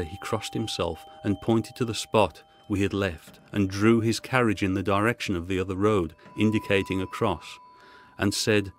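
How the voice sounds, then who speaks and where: Low-voiced man narrating gothic horror, music underneath. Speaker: story narrator.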